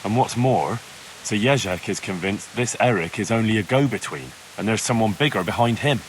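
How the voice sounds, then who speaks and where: A man's voice: on the low side, expressive, normal speed, slight background noise, close to an everyday voice, rough recording. An actor playing an earnest young village lad in a video game, speaking in a plain, questioning way as he investigates. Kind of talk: dramatic video game dialogue.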